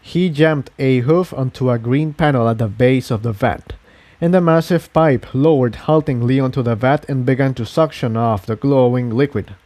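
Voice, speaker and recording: A man's voice: mid-range voice, normal speed, darkish tone. A man reading fan fiction aloud as an amateur narrator, in scripted storytelling style.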